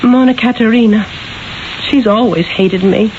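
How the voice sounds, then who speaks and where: A woman's voice: fairly high pitch, normal speed, a little mellow, natural voice. A woman acting the part of a distraught, devoted young Florentine wife in an earnest, theatrical 1970s radio drama. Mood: troubled, earnest. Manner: earnest, pleading, theatrical delivery. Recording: dull 1970s radio broadcast, faint noise.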